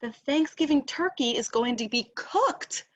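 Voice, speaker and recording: A feisty, quick-witted turkey hen from a children's story, played by a woman reader. She speaks emphatically and takes no nonsense. Her voice is high, with animated rises and falls. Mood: urgent. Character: spirited, indignant, quick-thinking female turkey.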